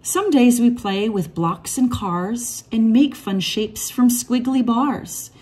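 Lady reading a kids rhyming book out loud, slow n measured, slightly husky. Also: fairly high pitch. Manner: measured, rhyming storytelling delivery. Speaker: read-aloud storybook reader. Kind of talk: expressive children's picture book read-aloud.

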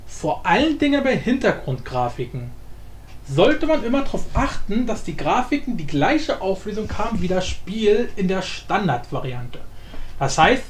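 A man giving a casual software tutorial, rambling informally in slang and thinking aloud. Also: high-pitched. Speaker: tutorial host. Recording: echoey room, faint background noise.

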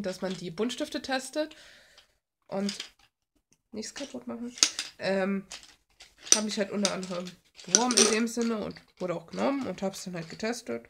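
Offhand young woman chatting over noisy recording. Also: medium pitch. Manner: offhand, thinking aloud. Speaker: art vlogger. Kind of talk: casual rambling chat while drawing. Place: small cluttered room at home. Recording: noisy home recording with clicks.

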